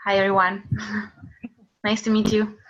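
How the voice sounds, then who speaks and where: A woman's voice: medium pitch, monotone, measured pace, a bit bright, muffled recording. A woman from Italy introducing herself cheerfully on a call, laughing between phrases.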